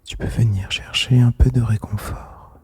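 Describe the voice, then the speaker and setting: A man whispering softly and intimately in an ASMR style, soothing and gentle, close to the microphone. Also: mid-range voice, steady tone, normal speed. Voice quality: breathy, mostly unvoiced whisper. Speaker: host of a whispered relaxation podcast. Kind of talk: ASMR whispered guided relaxation monologue. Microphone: close microphone, made for headphones.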